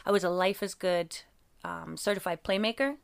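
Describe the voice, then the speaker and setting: A woman's voice: on the low side, normal speed, creaky, clear, resonant tone. A woman from England in casual conversation as an interview guest, with a mostly American accent that carries occasional English traces. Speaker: podcast guest, artist.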